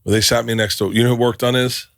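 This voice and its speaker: A man's voice: medium pitch, fast talker, gravelly, dry recording. A middle-aged man from Florida hosting a podcast, telling stories in casual chat, acting out quotes and laughing often. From Tampa, Florida. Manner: animated storyteller, acts out quotes, laughs often. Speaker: podcast host.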